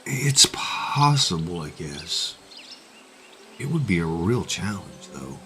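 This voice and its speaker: A man's voice: deep voice, sing-song, takes it slowly, background noise, full character voice. An actor playing an earnest, anxious and protective young man in a fantasy audio drama, with a hesitant, emotive delivery. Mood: worried, gentle. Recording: music behind the voice.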